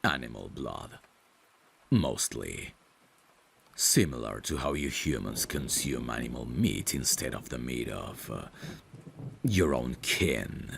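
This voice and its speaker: A courtly vampire butler played by a man speaks with gravelly depth and deferential care. His formal phrasing rises and falls expressively in this ASMR audio drama, over faint background noise. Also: a bass voice, full character voice. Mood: courteous, explaining. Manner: formal, deferential, measured, gently solicitous. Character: courtly, caring male vampire butler, soft-spoken and protective.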